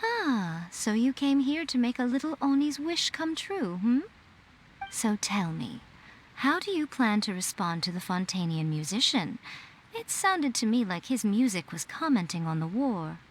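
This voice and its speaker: A woman's voice: a little high, sing-song, a little slow, a little hiss or hum, rough recording. An actress playing a sly, teasing, elegant woman in a video game, coy and knowing. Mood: teasing, amused. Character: sly, teasing, elegant female shrine mistress.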